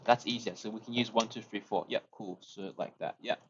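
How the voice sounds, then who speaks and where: A man's voice: fairly low pitch, steady tone, fairly quick, muffled recording, slight background noise. A young man, chatting while he codes on a live stream, thinking aloud, restarting phrases and chuckling often. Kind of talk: casual live-stream coding chat.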